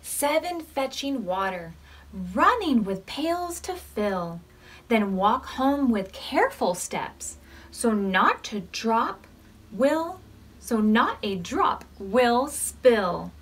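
Slow, higher-voiced American woman reading to preschoolers. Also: slightly rough. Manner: expressive, measured reading with questions to young listeners. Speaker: children's librarian leading storytime. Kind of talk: read-aloud storytime for preschoolers.

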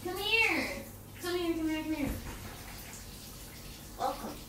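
A woman's voice: extremely high pitch, lively intonation, heavily acted. A young woman playing a playful, affectionate, teasing girlfriend in an intimate ASMR roleplay, coaxing in a girlish murmur. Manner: giggly, coaxing, unhurried murmuring with pauses. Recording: echoey, distant, noisy with running bathwater.

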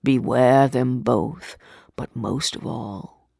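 A woman voicing a solemn, stern spirit giving warnings, slow and hushed, in a storybook reading. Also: rumbling low, vocal fry, no room sound, studio quality, voice pushed down, heavily acted. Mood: grave warning.

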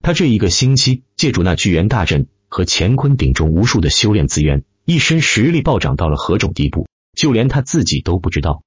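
A man's voice: on the low side, sing-song, brisk, few pauses, slightly rough, no room sound, highs cut off. A man narrating a fantasy novel in a theatrical, emphatic, scripted style. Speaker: audiobook narrator.

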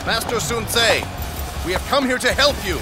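Righteous lord, declamatory, game cutscene. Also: male voice, very high voice, lively intonation, moderate pace, loud music behind the voice. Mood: resolute.

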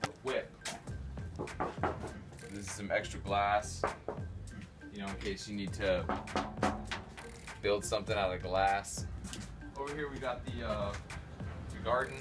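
Low-voiced sardonic man giving a house tour. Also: steady tone, takes it slowly, pauses often, over loud music. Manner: sardonic, mock-showy slang, swearing. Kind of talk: casual, joking house-tour chat. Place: small rooms of a rundown house.

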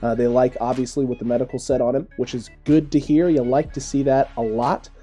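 Young male podcast host, rapid delivery, very dark mellow tone, on a webcam call. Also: mid-range voice, faint music behind. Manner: fast, animated, leads the discussion. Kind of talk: casual sports analysis chat. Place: small room, indoors. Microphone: webcam, mic close in front, video call.

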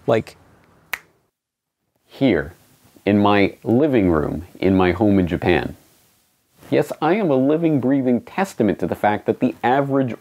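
A man in his forties from Canada, hosting his own online show with a scripted, emphatic monologue and lively hand gestures. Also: mid-range voice, dull audio. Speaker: independent online journalist and host. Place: small home studio indoors, also outdoors on the street. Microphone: studio microphone on a stand beside the camera.

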